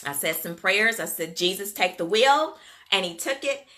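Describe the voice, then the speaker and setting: A woman with a Southern US accent chats to her livestream viewers in a folksy, talkative way. Her voice is bright and forward, with an easy, homespun flow. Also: medium pitch, animated, moderate pace. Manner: chatty, folksy, addresses viewers as "you guys" and "y'all". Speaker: livestream host, daycare business coach. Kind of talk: casual livestream chat to viewers. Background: Gulf Coast.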